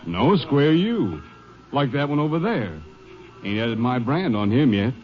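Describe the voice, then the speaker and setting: A man's voice: medium pitch, big pitch swings, measured pace, faint music behind. A man acting a breezy, defensive cattle rancher in a 1950s radio western, with folksy cowboy talk. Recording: muffled old radio recording.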